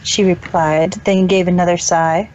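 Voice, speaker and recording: A woman's voice: on the low side, little pitch movement, unhurried, a little mellow, low-quality recording. A woman reading scripted storybook narration as the narrator of a fan-fiction audio reading.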